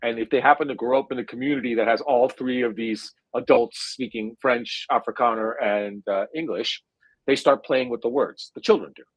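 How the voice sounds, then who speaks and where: A man's voice: medium pitch, no echo, low-quality recording. Hesitant man with a New Jersey accent. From India. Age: 40s. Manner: thoughtful, hesitant, full of drawn-out "uh" fillers. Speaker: guest neuroscientist. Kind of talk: conversational interview answer.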